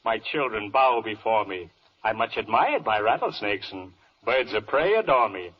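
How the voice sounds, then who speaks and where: An actor playing a vain, bloodthirsty, fickle judge, blustering in theatrical rhyme in a 1940s radio verse comedy. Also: male voice, fairly low pitch, lively intonation, normal speed, breathy edge. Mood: grandly self-important. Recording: muffled old radio broadcast.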